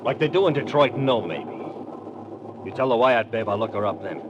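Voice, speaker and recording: A man's voice: normal speed, dull audio, lightly acted. An actor playing a menacing, tough gunman protective of his brother in an old radio crime drama, with hard, slangy, threatening talk. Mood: cold, insistent. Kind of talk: 1940s-50s radio crime drama acting. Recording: noisy old radio recording, train rumble behind.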